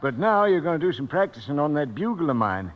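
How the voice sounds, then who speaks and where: A kindly old frontier grandfather, with a fairly high voice. He sounds encouraging in the manner of old western radio drama, on a muffled vintage recording. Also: very animated, fast talker. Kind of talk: 1950s western radio serial acting.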